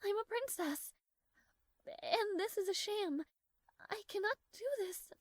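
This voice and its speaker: A woman's voice: very high voice, takes it slowly, dry recording. A woman playing a strong-willed young princess with formal, regal diction in an audio roleplay, proud but easily flustered. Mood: anxious, conflicted. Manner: formal regal diction, haughty, hesitant stammering when flustered.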